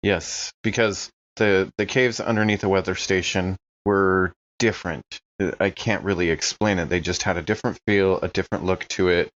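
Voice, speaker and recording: A man's voice: deep voice, fairly even intonation, moderate pace, hardly any pauses, a bit bright. A man playing in a tabletop audio drama, talking through things casually in character. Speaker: player in an actual-play game. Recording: slightly dull, muffled sound.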